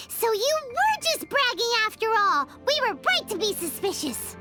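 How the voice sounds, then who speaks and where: A woman's voice: very high voice, very animated, a little slow, exaggerated voice. A woman voicing a small, childlike fairy companion in a video game, talkative and exclamatory. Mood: sceptical, bossy. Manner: refers to self by name, exclaims. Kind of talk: video game character voice acting. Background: background music.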